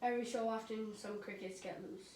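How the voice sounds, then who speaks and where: A woman's voice: on the high side, fairly even intonation, brisk, darkish tone. Casual woman reading aloud, noisy recording. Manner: stumbling reading, informal exclamatory commentary. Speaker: amateur reader of a children's book. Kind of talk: casual read-aloud with chatty asides. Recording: clearly noisy home recording with clicks.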